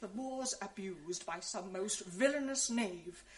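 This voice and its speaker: A woman's voice: mid-range voice, lively intonation, takes it slowly. An actress playing a loyal, outspoken lady's maid in a radio drama of classical verse, indignantly cursing a villain.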